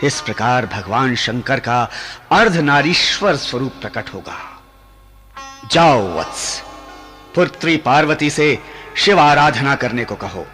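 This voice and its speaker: An actor playing a wise, assured divine sage in a Hindi mythological radio play, with solemn, formal delivery. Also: male voice, mid-range voice, very animated, a bit bright, faint music behind. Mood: prophetic, solemn. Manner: solemn, formal, unhurried pronouncements.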